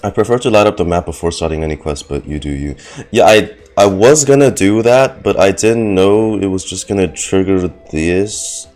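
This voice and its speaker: A man's voice: low voice, normal speed, darkish tone. A man giving casual, sarcastic and sweary reaction commentary as he streams a video game. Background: game music.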